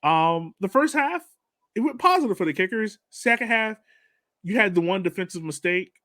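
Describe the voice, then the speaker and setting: Chatty man hosting, higher-pitched, dry close sound. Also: expressive, normal speed, pushed and bright, no room sound. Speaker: podcast host. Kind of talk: casual sports podcast chat.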